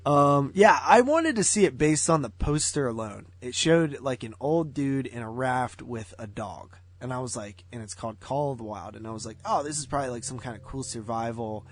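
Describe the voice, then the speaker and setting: A man's voice: medium pitch, expressive, moderate pace, few pauses, no echo. A man chatting casually as a movie podcast co-host, in a slangy, rambling way. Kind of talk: casual chat. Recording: music playing behind the voice.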